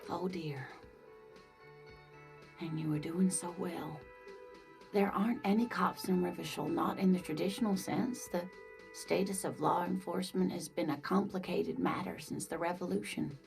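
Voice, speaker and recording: A woman voicing a kindly, scatterbrained older married woman in a video game, gentle and doting, with music behind her. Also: fairly low pitch, steady tone, normal speed, vocal fry. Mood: concerned, regretful. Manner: gentle, doting, hesitant, calls listener dear. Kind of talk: video game dialogue acting.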